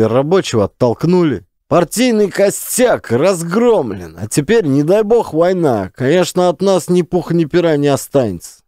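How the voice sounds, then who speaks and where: A man's voice: fairly high pitch, mellow, dry recording, clean studio sound, voice pushed up, close to an everyday voice. Man voicing a scornful Soviet party official. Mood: grim. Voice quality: heated, blunt, scornful. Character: cynical, hard-drinking male Soviet party official.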